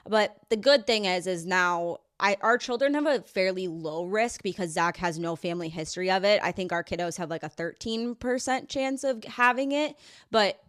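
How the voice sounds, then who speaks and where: A woman's voice: medium pitch, moderate pace, fairly bright tone, dry recording, studio quality. A woman hosting a podcast in a casual, upbeat way, sounding a little stuffy from a head cold. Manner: upbeat, chatty, explains things plainly. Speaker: podcast host and dietitian.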